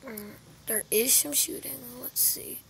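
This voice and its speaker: A young woman talks through her mobile game in a casual, self-directed mutter. Her voice sits fairly high with noticeable fry. The phone recording carries faint background noise and some room ambience. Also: a little slow, fairly bright tone. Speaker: gamer recording gameplay. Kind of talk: casual gameplay commentary. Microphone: phone screen recorder microphone.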